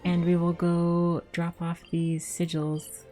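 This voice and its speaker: A woman's voice: on the low side, monotone, slow, mellow. A woman streaming a video game, giving casual live commentary as she plays. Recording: game music behind the voice.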